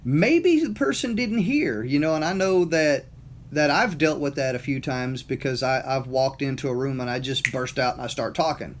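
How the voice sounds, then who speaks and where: A man's voice: medium pitch, lively intonation, normal speed, a little hiss or hum. A middle-aged man with a Southern US accent, talking casually and motivationally, rambling with many fillers and repeated words. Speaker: motivational talker. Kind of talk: casual monologue.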